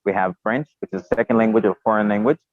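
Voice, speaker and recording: A man from Haiti whose first language is Haitian Creole, speaking English with a Haitian accent as a podcast guest, halting and repeating words as he searches for them. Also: lowish voice, fairly even intonation, dry recording. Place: outdoors, remote. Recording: tinny phone-like line.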